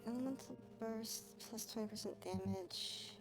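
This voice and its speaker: A woman livestreaming a game, chatting casually and thinking aloud in a halting, musing way. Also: a little high, poor audio. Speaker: livestreamer playing a video game. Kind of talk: casual gameplay stream chat. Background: music.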